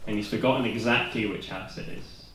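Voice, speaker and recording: A Welsh man in his twenties reads his poems aloud at a slam, his voice lowish and heard from well back in a very echoey room. He slips in dry, self-deprecating jokes between pieces. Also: brisk, a bit bright, a little hiss or hum. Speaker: spoken word poet. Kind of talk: poetry slam performance. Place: live performance venue. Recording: very echoey room recorded from a distance.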